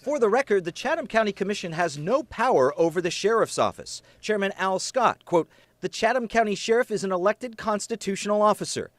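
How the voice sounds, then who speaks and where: American male investigative reporter, scripted and emphatic, high-pitched voice, dry close recording. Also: animated, brisk, dry recording. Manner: serious, emphatic broadcast delivery. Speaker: TV news reporter. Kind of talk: scripted investigative news narration.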